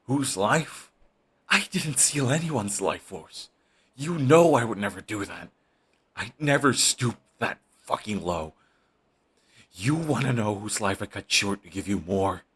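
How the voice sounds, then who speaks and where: A man's voice: on the low side, expressive, a little slow, husky, exaggerated voice. A man voicing a brooding villain who is defensive and lovestruck, talking close and intimately to the listener. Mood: heated, defensive. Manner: intimate, shifts between hushed and heated. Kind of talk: audio roleplay monologue.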